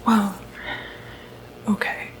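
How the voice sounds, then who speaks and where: A woman playing a calm, quietly puzzled doctor in an ASMR medical roleplay, whispering breathily and hesitantly. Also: slight background noise, poor audio. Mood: uneasy, concerned. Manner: hesitant, halting, murmured asides. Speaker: ASMR performer.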